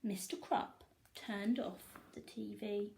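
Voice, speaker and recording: Expressive woman reading a children's story. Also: mid-range voice, takes it slowly, gravelly, noisy background.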